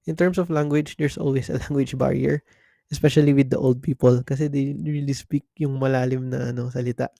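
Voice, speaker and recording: A man's voice: medium pitch, fairly even intonation, fairly quick, creaky, dark tone, no echo, studio quality. A young man from Macau with a Filipino accent, hosting a podcast as a casual, reflective monologue, mixing English and Tagalog. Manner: mixes English and Tagalog, thoughtful, restarts phrases. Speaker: podcast host.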